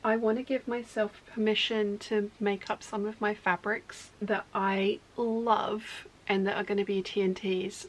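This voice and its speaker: A woman chatting casually in her sewing studio, rambling and correcting herself, her voice going hoarse. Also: mid-range voice, a little hiss or hum, rough recording. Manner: rambling, self-correcting, talkative. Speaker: sewing vlogger.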